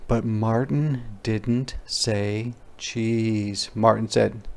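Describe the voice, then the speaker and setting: A man doing an interactive picture-book read-aloud for young children, pausing to ask questions and explain words simply. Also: lowish voice, fairly even intonation, creaky. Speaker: teacher reading aloud.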